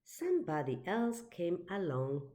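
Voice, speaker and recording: A woman reading a children's picture book aloud in an expressive, deliberate storytelling manner. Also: lowish voice. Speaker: storybook reader.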